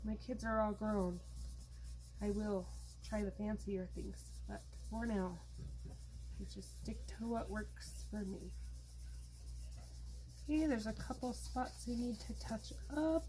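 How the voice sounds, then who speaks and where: A woman hosting an art tutorial, explaining her steps in a relaxed, chatty way while she works. She is losing her voice. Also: medium pitch, slow, pauses often, rough recording. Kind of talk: casual step-by-step craft demonstration.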